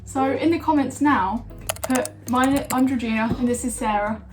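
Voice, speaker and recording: A young woman vlogger speaks straight to her viewers, brisk and upbeat. She is losing her voice, and her slightly high voice has a hoarse edge. Music plays clearly underneath.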